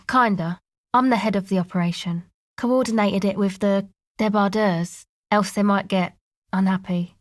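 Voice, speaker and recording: Woman playing young female crew leader, video game dialogue, guarded, casual, slangy, understated, medium pitch, close dry sound. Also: no echo, close to an everyday voice. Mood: somber, flat, then matter-of-fact, resigned.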